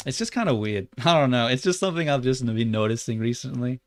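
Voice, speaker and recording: A young Australian man livestreaming while he works, chatting loosely with lots of "like" and muttering curses at his software. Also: mid-range voice, fast talker, no room sound, clean studio sound. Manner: rambling and loose, heavy on "like", muttering and swearing at the software. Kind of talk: casual livestream chat while 3D modeling.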